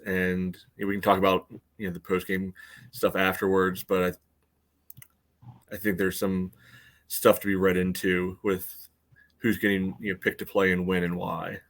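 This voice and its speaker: A man's voice: low-pitched, fairly bright tone. A man co-hosting a casual sports podcast, talking in a loose, rambling way with plenty of filler phrases. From United States. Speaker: podcast co-host.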